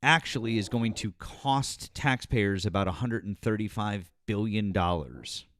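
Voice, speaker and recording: A man's voice: lowish voice, lively intonation, unhurried, fairly bright tone. A man in his forties hosting a live political stream, pressing a caller with pointed, repeated questions. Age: 40s. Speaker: host.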